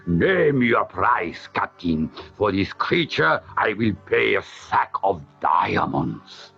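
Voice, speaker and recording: A man reads as a grand, pompous sultan in a storybook. The voice sits low and drawn out, with a husky edge. The recording is a little dull, with faint music underneath. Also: sing-song, bright, forceful tone, exaggerated voice. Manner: slow, grand, proclaiming. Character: pompous booming male sultan, grand and greedy.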